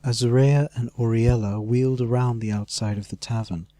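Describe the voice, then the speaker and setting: A man's voice: medium pitch, steady tone, moderate pace, mellow, no room sound. A man reading scripted fantasy narration as an audiobook narrator.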